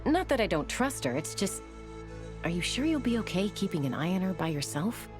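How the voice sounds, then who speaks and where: A woman's voice: mid-range voice, very animated, fairly quick, lightly acted. An actress playing a cautious, level-headed woman in a video game, thinking things through aloud. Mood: wary. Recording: game audio with music behind. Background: game music.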